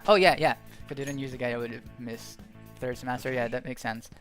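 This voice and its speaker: A man's voice: mid-range voice, steady tone, quick pace, fairly bright tone, faint music behind. A young Brazilian man with a Brazilian Portuguese accent, a native Portuguese speaker, chatting casually while streaming a game, rambling and thinking aloud with frequent restarts. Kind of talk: casual gameplay commentary.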